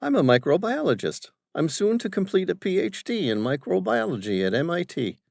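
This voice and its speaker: A man's voice: fairly high pitch, big pitch swings, brisk, gravelly, a little mellow, no echo, voice pushed up, full character voice. A man voicing a composed young woman scientist who answers politely and with self-assurance.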